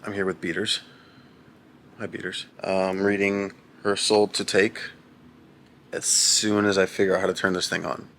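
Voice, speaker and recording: A young man with a very deep, low voice and a gravelly, creaky edge talks to the camera at home in a casual, deadpan way. He rambles and mocks himself, and a faint hiss sits under the recording. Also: moderate pace, pauses often. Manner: deadpan, rambling and self-mocking. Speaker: husband reading a book on camera. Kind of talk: casual vlog chat.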